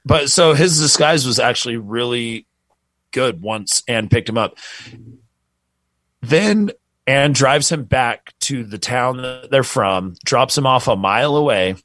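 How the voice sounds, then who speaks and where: A man's voice: mid-range voice, a bit bright, dry recording, poor audio. A man casually telling a true crime story on a podcast, rambling and swearing freely.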